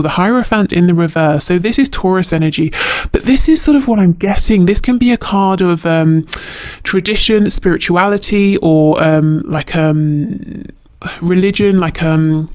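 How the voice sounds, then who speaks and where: Higher-pitched man reading tarot, dry close sound. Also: a little mellow, no room sound. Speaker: tarot reader. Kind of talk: unscripted spiritual tarot reading to viewer.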